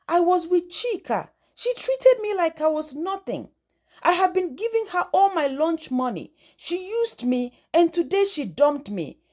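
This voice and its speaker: A woman's voice: very high voice, sing-song, unhurried, pitched above the performer's own voice, full character voice. A woman voicing a self-pitying, dismissive teenage schoolboy in a storybook tale, with plaintive complaining. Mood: upset, sobbing.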